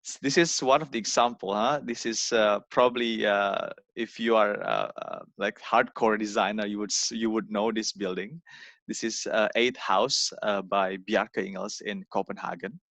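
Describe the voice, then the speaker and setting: A young man from Indonesia, giving an informal talk over a video call, halting with many fillers and repeated words. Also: fairly low pitch, fairly even intonation, vocal fry, fairly bright tone, no room sound. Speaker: guest speaker. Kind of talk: informal online presentation.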